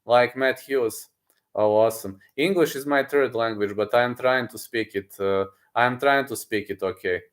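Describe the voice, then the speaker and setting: A man, a streamer chatting casually with his viewers, boastful and excitable, often repeating himself. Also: medium pitch, normal speed. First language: Serbian. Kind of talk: casual livestream chat, reading messages aloud.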